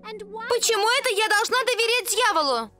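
An earnest young angel from a cartoon. Her voice is very high and bright. Soft music plays under her. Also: lively intonation, moderate pace, full character voice. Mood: indignant. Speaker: voice actress in a dubbed cartoon. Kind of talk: animated series dubbing.

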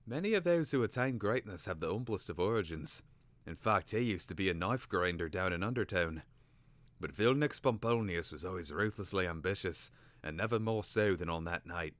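A man's voice: sits low, animated, moderate pace, a bit bright, dry recording. A man voicing a haughty, irascible talking bird in a storybook, indignant and grandiloquent in manner. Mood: grand storytelling.

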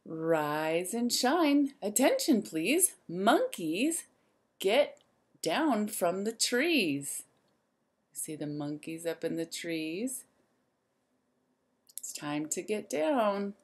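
A woman in her thirties reads a children's picture book aloud. Her mid-pitched voice moves very freely up and down, sing-song and expressive. She takes her time and talks directly to young listeners as a teacher would. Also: extremely slow, long pauses.

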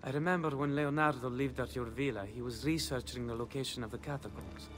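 An actor playing a cocky, cheeky young Renaissance gambler and painter's assistant in a video game. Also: male voice, mid-range voice, fast, fairly bright tone, faint music behind, natural voice. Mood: subdued. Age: young adult. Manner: cheeky, flippant, theatrical. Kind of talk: dramatic video game cutscene acting.

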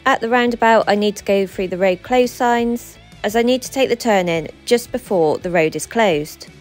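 A woman in her thirties, a truck driver, narrating her work in a steady voice-over, explaining each step. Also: medium pitch, normal speed, faint music behind.

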